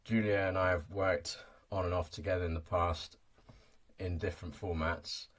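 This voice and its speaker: Deep husky man hosting a podcast. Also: fairly even intonation, normal speed, fairly bright tone. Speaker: podcast host. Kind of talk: scripted podcast introduction and interview question.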